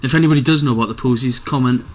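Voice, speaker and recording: A man's voice: medium pitch, rapid-fire. A man co-hosting a podcast in casual chat, musing and asking questions with the odd swear word. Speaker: podcast co-host.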